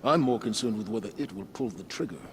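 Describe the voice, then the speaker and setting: A man voicing a stern, skeptical military commander in a video game, grave, formal and measured. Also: fairly low pitch, expressive, a little hiss or hum, close to an everyday voice. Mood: doubtful. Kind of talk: video game dialogue acting.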